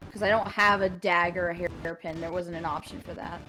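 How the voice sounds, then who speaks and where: A woman's voice: fairly low pitch, fairly even intonation, fairly quick, sharp tone, slight background noise, rough recording. A woman chatting casually as a tabletop game player, thinking aloud in a hesitant way. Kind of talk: casual unscripted game play chat.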